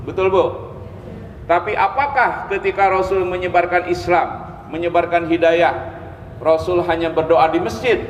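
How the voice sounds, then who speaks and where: Man, Islamic teacher lecturing; slow, instructive delivery with repeated phrases, recorded in an echoing hall with some background noise. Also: on the high side, highs cut off. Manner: didactic, repeats key phrases, pauses to check with listeners. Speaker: Islamic preacher, religious teacher. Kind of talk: sermon, religious lecture to a study group. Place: mosque hall, live religious gathering. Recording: echoey hall with long reverb, background noise.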